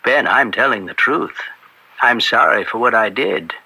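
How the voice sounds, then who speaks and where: Contrite pleader, gravelly and husky, swinging intonation, earnest halting radio-drama delivery. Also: male voice, medium pitch, animated, bright, forceful tone, dry recording, full character voice, low-quality recording. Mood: pleading. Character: contrite man pleading with an old associate. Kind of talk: old-time radio drama acting.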